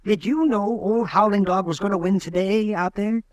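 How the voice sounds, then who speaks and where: Crusty old town doctor, man doing it, drawling and skeptical, high voice. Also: moderate pace, no echo, lightly acted. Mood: curious. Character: crusty older male frontier town doctor. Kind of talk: 1950s radio drama acting.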